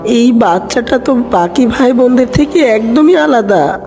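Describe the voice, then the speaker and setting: A woman voicing a fussy mother duck in an animated fairy tale. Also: on the high side, unhurried, soft background music. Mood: motherly, concerned. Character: mother duck, fond of pretty chicks, dismissive.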